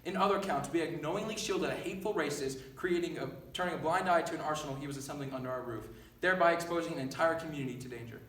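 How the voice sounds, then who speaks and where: A teenage boy giving a forceful, dramatic recitation in a readers theater performance. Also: a little high, rapid-fire, close to an everyday voice. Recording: echoey room, distant mic, faint noise.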